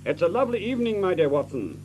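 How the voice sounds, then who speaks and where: An actor playing an urbane, composed, teasing gentleman detective in an audio drama, speaking courteously over a humming recording. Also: high-pitched, sing-song, fast, soft background music, rough recording. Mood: amused, composed.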